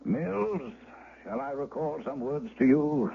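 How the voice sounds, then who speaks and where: An actor playing an imperious, stubborn Duke of seventy in a radio drama, commanding and impatient. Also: male voice, mid-range voice, expressive, takes it slowly, creaky. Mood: irritated, guarded. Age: older. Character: imperious seventy-year-old Duke, stubborn, haunted, irascible. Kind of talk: 1970s radio drama acting. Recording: tinny, noisy old radio broadcast.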